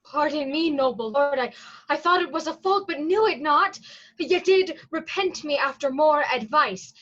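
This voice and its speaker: A woman's voice: high voice, moderate pace, a bit bright. A woman playing a respectful, apologetic prison provost in a formal Shakespearean reading, on dull audio. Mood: apologetic, deferential. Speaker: actor in a staged reading. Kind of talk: Shakespearean verse stage reading.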